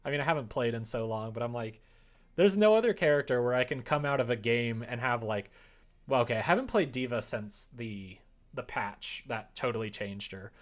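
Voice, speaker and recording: A man with a mid-pitched voice hosts a casual livestream. He rambles in an easygoing way, hedging his thoughts and answering chat comments as he reads them out. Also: lively intonation, normal speed. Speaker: live streamer. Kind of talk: casual chat with stream viewers, reading out their comments.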